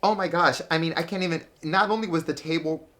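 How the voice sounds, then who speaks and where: A man's voice: a little high, fairly even intonation, fast, a bit bright. A man born in Cuba hosting a casual interview, effusive and gushing, often restarting his sentences. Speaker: interviewer host.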